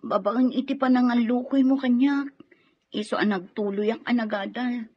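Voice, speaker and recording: A woman's voice: a little high, normal speed, husky. A young woman with an Ilocano Filipino accent acting a grateful, affectionate graduate in an audio drama. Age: young adult. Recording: dull lo-fi audio with music.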